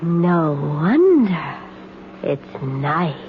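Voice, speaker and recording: An actress playing a sly, coolly insolent woman drifter in a radio mystery, languid and softly taunting. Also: deep voice, big pitch swings, extremely slow, full character voice. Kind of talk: 1970s radio mystery drama acting. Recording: muffled, noisy 1970s radio broadcast.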